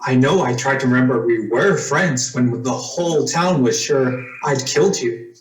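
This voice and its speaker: A man's voice: medium pitch, normal speed, slight background noise, close to an everyday voice. A man playing a bitter, wronged young man in an old-time radio drama, with a curt, resentful delivery. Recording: roomy, echoing recording.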